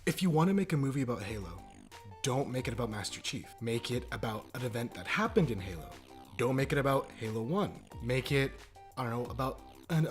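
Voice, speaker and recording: A man giving a casual, opinionated video review in an informal, emphatic, jokey and sweary way. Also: mid-range voice. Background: background music.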